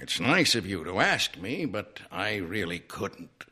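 A man's voice: low voice, big pitch swings, unhurried, bright, forceful tone, husky, pitched below the performer's own voice, exaggerated voice. A man voicing a nine-year-old talking horse in a story, folksy and ungrammatical, polite but blunt. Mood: bashful. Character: talking horse, nine years old, plainspoken, stubborn, unrefined.

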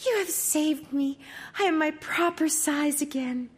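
A woman voicing a humble, sweet young princess in a high, plaintive tone. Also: a little slow. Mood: relieved.

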